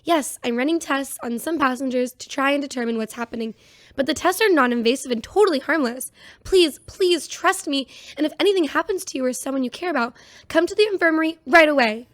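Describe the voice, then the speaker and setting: A woman's voice: high-pitched, fairly quick, no echo, studio quality, lightly acted. A woman playing an earnest ship's doctor making a hesitant, pleading announcement in a sci-fi radio drama.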